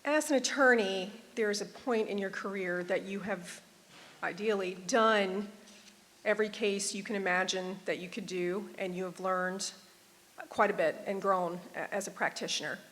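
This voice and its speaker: A woman's voice: mid-range voice, steady tone, moderate pace, a bit bright. A woman in her forties, a judicial candidate, speaking sincerely and from the heart at a public forum. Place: performing arts center stage. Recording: reverberant auditorium.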